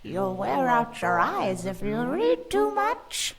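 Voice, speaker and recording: A woman's voice: a little high, wildly swooping pitch, takes it slowly, creaky, crowd noise, exaggerated voice. An actress playing a powerful, imposing older female demon in a visual novel game. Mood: knowing.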